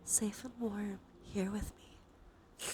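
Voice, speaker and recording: A woman with a stuffy nose doing a cozy, gentle ASMR stream, chatting casually in a soft, breathy voice that often drops into whispers. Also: mid-range voice, flat delivery, drawn-out words, noisy background. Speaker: livestreamer hosting an ASMR stream. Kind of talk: cozy ASMR, casual chat while gaming. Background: soft ambient soundscape.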